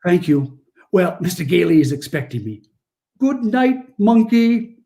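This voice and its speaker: A man acting a kindly, merry old Santa Claus in a 1940s-style radio play, with gentle, courtly manners. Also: high-pitched, big pitch swings, measured pace, creaky, exaggerated voice. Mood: tender.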